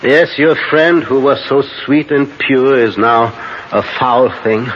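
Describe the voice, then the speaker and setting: An actor playing a commanding older professor who hunts vampires, speaking urgently and solemnly in halting instructions in an old-time radio drama. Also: male voice, medium pitch, close to an everyday voice. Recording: muffled, very noisy old radio recording.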